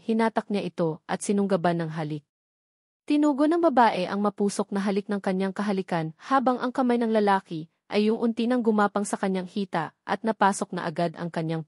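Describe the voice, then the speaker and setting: A woman's voice: mid-range voice, darkish tone, no room sound, clean studio sound. A woman reading scripted fiction aloud as an audiobook narrator.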